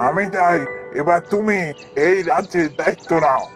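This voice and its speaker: A man's voice: high voice, moderate pace, over music, natural voice. A man voicing a proud, weary old king in a fairy-tale cartoon. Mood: proud, solemn. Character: proud, weary old king handing over his realm.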